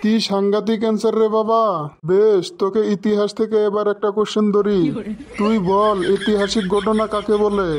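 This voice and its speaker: Pompous old male cartoon teacher, voiced by a man, scolding and irritable, high-set voice with little melodic movement, some background noise. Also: completely flat delivery, normal speed, lightly acted. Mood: smug, testing. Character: boastful, short-tempered old male schoolteacher quizzing pupils. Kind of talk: comic cartoon dialogue.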